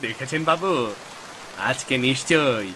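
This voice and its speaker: A man's voice: medium pitch, unhurried. A man voicing a fawning, scheming henchman to a landlord in a cartoon story, obsequious and exaggerated. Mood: gleeful. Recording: loud rain noise behind the voice.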